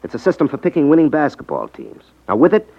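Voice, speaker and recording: A man's voice: fairly high pitch, wildly swooping pitch, fast, creaky, slightly rough, no echo, exaggerated voice. An actor playing a sly ex-magician con man in a 1950s radio drama, talking in a wry, mock-polite, hesitant way. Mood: mock-timid. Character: smooth, wry male ex-magician con man, feigning innocence. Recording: dull vintage 1950s radio recording.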